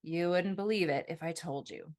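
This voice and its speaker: A worn-out young woman with a dry, sarcastic edge, played by a woman narrator. She speaks wearily in audiobook dialogue, in a slightly low voice. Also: normal speed, a little mellow, close to an everyday voice. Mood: dry sarcasm. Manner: weary, dry. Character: tired, grumpy, sarcastic young woman, night worker.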